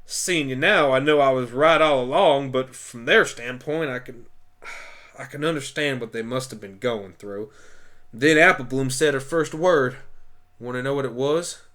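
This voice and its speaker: Male voice as country mare, blunt, forthright, folksy, bright forceful tone, radio play. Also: mid-range voice, measured pace, natural voice. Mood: earnest, wistful. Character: stubborn, blunt grown-up female country farm pony. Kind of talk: amateur radio play acting.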